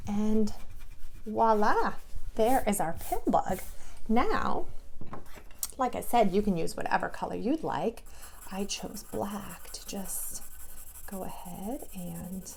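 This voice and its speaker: A woman guides young children through a drawing lesson, speaking gently and patiently. Her voice is gravelly with some fry, and she talks at an unhurried pace. A faint hiss sits behind her. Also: medium pitch, animated. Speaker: preschool teacher.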